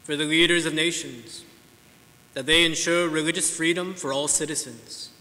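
A man reading intercessions aloud as a lector, in a steady, formal way. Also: a little high, moderate pace. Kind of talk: reading prayers of the faithful. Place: large church sanctuary. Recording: long church reverb, faint noise.

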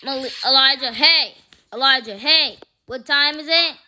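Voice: high voice, a little slow, sharp tone. A child hosting a gaming video, chatting casually during play. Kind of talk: casual chat during Roblox gameplay.

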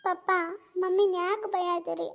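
Drunk lovesick daughter, a woman acting, north karnataka kannada accent, super high whiny voice, stubborn and pleading. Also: fairly even intonation, normal speed, creaky, lo-fi sound. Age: young adult. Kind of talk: family drama skit.

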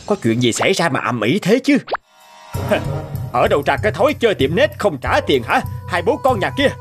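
Indignant cafe grouch, lively swooping pitch, reproachful, cartoon, soft background music. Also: male voice, a little fast. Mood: angry. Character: angry, scolding grown man at an internet cafe. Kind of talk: cartoon dubbing.

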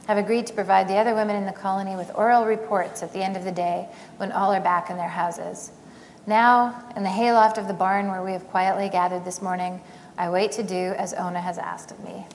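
A middle-aged woman reading aloud from a novel, measured and quiet, seated on a theater stage. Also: medium pitch. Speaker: author reading. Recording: long hall reverb, faint noise.

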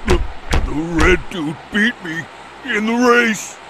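A man voicing a big, dim-witted male albatross henchman who stammers in a gravelly voice, for a video game cutscene, with game music behind. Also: high-pitched, slow, pushed and bright, slight background noise, heavily acted, low-quality recording. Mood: flustered.

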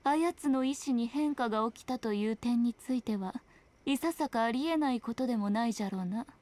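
A woman's voice: on the high side, brisk, darkish tone, natural voice. A woman playing a sly, teasing, ancient fox-spirit shrine maiden in a video game, speaking in an archaic, haughty manner with playful airs. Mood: aloof. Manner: archaic haughty Japanese speech, playful mock sympathy. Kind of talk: scripted video game dialogue.

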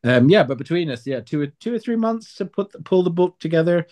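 A man's voice: a little high, expressive, brisk, a little mellow. A man answering as an author in a conversational interview, explaining in a reflective, articulate way.

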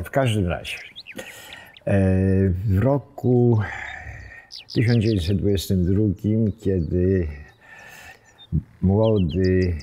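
A man, telling local history informally, haltingly and with wry asides. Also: sits low, dark tone. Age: 70s. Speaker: villa owner telling its history. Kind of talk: informal storytelling to camera, reminiscing. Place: open wooden veranda outdoors among trees. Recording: outdoor background noise, birdsong, small clicks.

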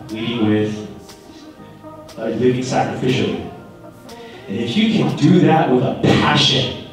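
An earnest man speaks from a podium about leading others, his fairly high voice ringing through a big, booming auditorium. He sounds set back from the mic, with music laid under the recording. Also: heavy reverb, far from the mic, low-quality recording. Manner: earnest, building to emphatic calls. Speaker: guest speaker. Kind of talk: motivational speech. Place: large school auditorium. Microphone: podium mic, recorded from the hall.

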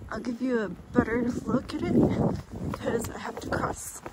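A middle-aged woman chatting casually as she walks outdoors, speaking in a halting way that trails off, recorded on a handheld phone. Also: fairly high pitch. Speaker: vlogger. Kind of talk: casual walking-tour chat. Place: outdoors walking along roadside and hilly park. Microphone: handheld phone at arm's length. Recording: loud outdoor street and wind noise.

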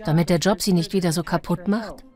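A woman's voice: lowish voice, fairly quick, warm tone, soft background music, natural voice. A woman voicing a documentary interviewee who recounts a case in dubbed testimony. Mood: earnest recounting.

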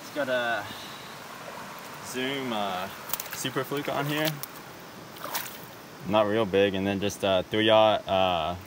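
A man explaining his fishing tackle outdoors by a river in a relaxed, halting way, often pausing on "uh". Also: fairly low pitch. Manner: laid-back, hesitant, says "uh" often. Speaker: fishing partner. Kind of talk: casual explanation. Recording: distant voice with river noise.

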